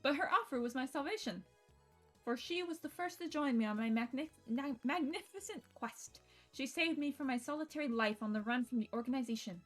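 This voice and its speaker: A woman streamer reading visual novel narration aloud in a playful, expressive storytelling way, with casual asides. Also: high voice, brisk. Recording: music behind the voice.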